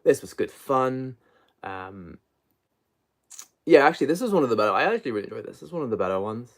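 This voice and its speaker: A man's voice: medium pitch, big pitch swings, quick pace. A man hosting an unscripted book livestream, chatting casually in a rambling, hesitant way and often trailing off mid-thought.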